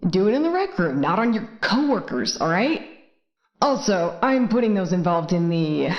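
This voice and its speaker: An actress playing an exasperated facility leader, firmly and bluntly scolding staff over an intercom in an audio drama. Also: female voice, on the low side. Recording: echoey, dull intercom sound.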